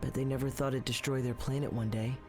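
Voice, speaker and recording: A man playing an eager, plucky teenage boy who leads a crew of cadets in an animated game. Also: mid-range voice, fast, over music, close to an everyday voice. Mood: earnest.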